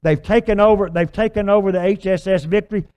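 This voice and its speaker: An older man preaching a sermon, telling a long illustration story on a church stage with lively gestures. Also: high voice, quick pace, clean tone. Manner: animated, gesturing storyteller with filler restarts. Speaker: pastor. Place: large church stage with purple lights.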